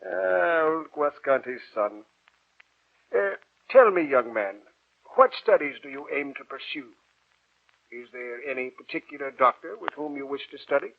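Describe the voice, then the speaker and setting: An actor playing a learned, avuncular older professor with an ominous, cautioning streak, in the theatrical style of 1940s radio drama. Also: male voice, on the high side, lively intonation, moderate pace, gravelly. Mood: hearty, welcoming. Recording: tinny, muffled 1940s radio recording.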